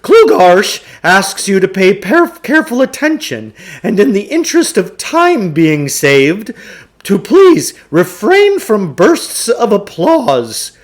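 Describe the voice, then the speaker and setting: A man playing a high-pitched, pompous showman lecturer. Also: wildly swooping pitch, slow, heavily acted. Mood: grandiose lecturing. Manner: grandiose, speaks of himself in third person. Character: pompous showman lecturer selling crackpot mind-control theories. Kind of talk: storybook character voice in a read-aloud.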